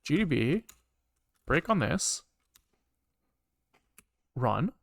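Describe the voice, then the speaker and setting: A man casually live-coding on a stream, thinking aloud in halting, muttered bits as he works. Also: on the high side, big pitch swings, creaky. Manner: halting, muttering to himself while working. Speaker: programming livestreamer.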